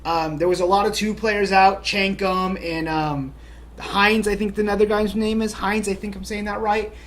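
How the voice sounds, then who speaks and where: A man's voice: sits high, little pitch movement, normal speed, a bit bright. A man co-hosting a casual soccer talk show, upbeat and chatty with lots of fillers. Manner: upbeat, chatty, many "you know" fillers. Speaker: podcast co-host. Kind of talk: casual sports chat.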